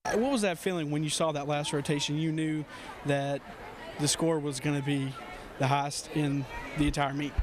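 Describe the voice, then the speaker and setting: Male field reporter, slightly high voice, interviewing on location amid clear background noise. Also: steady tone, moderate pace. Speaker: sports reporter. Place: sports arena.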